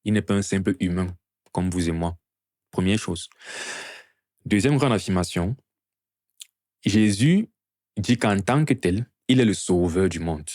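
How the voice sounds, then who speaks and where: A man's voice: low-pitched, a little slow, pauses often, a little mellow, dry recording, studio quality. A man preaching an evangelistic sermon to a gathering in an earnest, teaching manner, often posing rhetorical questions.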